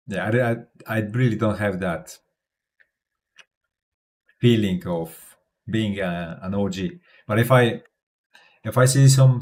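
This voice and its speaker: A man's voice: fairly low pitch, darkish tone. A man from Poland with a Polish accent, talking casually to camera as a crypto YouTuber, chatty and self-assured, often restarting his sentences with small laughs.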